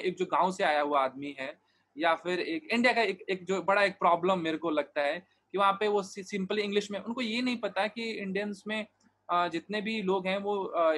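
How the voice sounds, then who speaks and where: A man's voice: high voice, fairly bright tone. A man being interviewed, talking earnestly and at length, mixing English phrases in, with frequent restarts and fillers. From Bihar, India. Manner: mixes English words and phrases into his speech. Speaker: interview guest, digital marketer. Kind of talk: casual interview talk.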